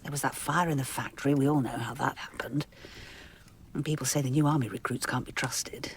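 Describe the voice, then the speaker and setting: Low-voiced, theatrical society matriarch. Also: female voice, steady tone, a little fast, a little hiss or hum. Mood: agitated. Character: imperious, gossipy, anxious society matriarch. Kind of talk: radio drama acting.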